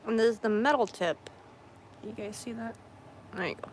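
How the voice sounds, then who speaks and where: A woman livestreaming her crafting in casual, rambling chat, hoarse and losing her voice, often clearing her throat and correcting herself. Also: on the high side, normal speed, slight background noise. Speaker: craft streamer.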